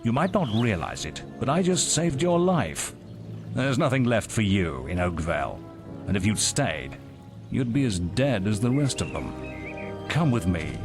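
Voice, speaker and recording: A man playing the measured, terse and authoritative head of a heroes' guild in a video game. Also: lowish voice, sing-song. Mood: stern, urgent. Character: grave, commanding male head of a heroes' guild, rescuing a boy. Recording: music behind the voice.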